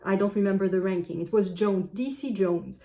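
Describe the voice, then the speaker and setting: Mellow-voiced French Canadian woman musing. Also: mid-range voice. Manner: thoughtful, unhurried, musing aloud. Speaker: book vlogger. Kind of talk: casual talk to camera.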